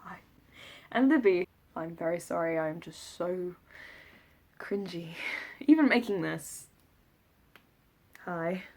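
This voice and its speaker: A young woman with a southern English accent talks in a slightly low-pitched voice. She rambles casually as a vlogger would, poking fun at herself in an informal, jokey manner. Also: animated, takes it slowly, pauses often, a little mellow. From south of England. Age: 20s. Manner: self-deprecating, jokey. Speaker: vlogger recounting an audition. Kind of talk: casual rambling vlog chat.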